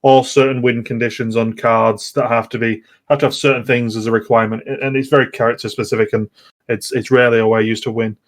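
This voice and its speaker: A man chats casually as a podcast host about games, at a middling pitch. He rambles and hesitates, often repeating words as he finds his way through a sentence. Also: little pitch movement, fast. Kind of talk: casual gaming podcast chat.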